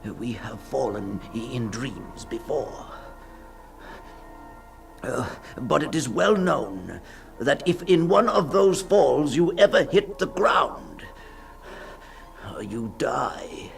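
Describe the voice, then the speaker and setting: A man's voice: mid-range voice, lively intonation, slow, vocal fry, long pauses. An actor playing a terrified man reliving a nightmare in dramatic first-person radio storytelling, anguished and stammering. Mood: frightened, strained. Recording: 1960s radio drama with faint music.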